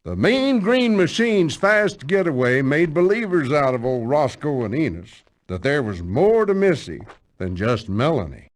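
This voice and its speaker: A man's voice: a little high, sing-song, a little slow. A man playing a wry, folksy country narrator with an American Southern accent.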